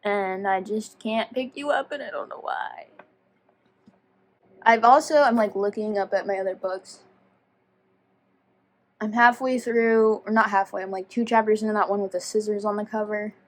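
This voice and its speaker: A woman's voice: mid-range voice, steady tone, normal speed, long pauses. A woman chatting casually to viewers as a book vlogger, rambling and self-deprecating as she goes off on tangents. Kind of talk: casual chatty video talk.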